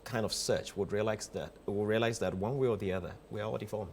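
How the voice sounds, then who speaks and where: A man's voice: on the low side, fast talker, a little mellow, a little hiss or hum. A man with a Ghanaian accent speaking as a guest in a casual interview, playful and emphatic, often repeating his phrases. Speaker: guest, theatre director.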